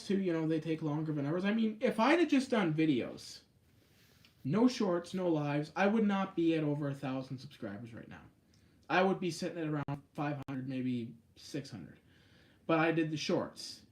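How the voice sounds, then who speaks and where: A man hosting a casual live stream on a phone, chatting and answering viewer comments in a rambling way, with sudden jumps up in pitch on stressed words. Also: a little mellow. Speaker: YouTube live-stream host.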